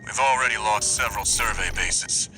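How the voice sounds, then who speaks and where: Bright, confident ace pilot. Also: male voice, fairly low pitch, moderate pace, slight background noise, low-quality recording. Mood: businesslike. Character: confident, friendly young male rival mech pilot. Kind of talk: video game voice acting, radio message.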